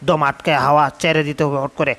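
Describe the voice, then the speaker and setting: Cartoon dub role: a man as a bewildered, stammering fellow, tense sharp tone, narrow range. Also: on the high side, level tone, fast talker, close to an everyday voice. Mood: bewildered, panicked. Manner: stammering, muttering, exclamatory. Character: flustered, bewildered male cartoon character. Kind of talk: Bengali comedy cartoon dub.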